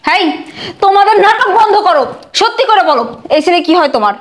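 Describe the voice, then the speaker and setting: A woman playing a furious young bride who shouts insults and demands a divorce. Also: very high-pitched, sing-song, fast, reverberant. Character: furious young bride, sharp-tongued and scolding. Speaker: newly married bride. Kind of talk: comic domestic drama acting.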